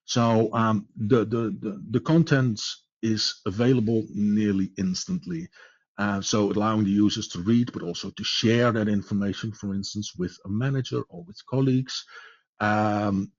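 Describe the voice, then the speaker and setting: A man with a Dutch accent presenting a product in a webinar, speaking haltingly with many fillers and repeated words. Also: on the low side, steady tone, highs cut off. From Netherlands.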